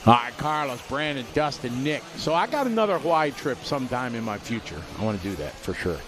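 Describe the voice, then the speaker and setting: A man calling a radio-controlled car race live, giving quick play-by-play and reading out viewer messages. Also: medium pitch, sing-song, noisy background. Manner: rapid play-by-play, reads viewer chat aloud. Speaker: race commentator.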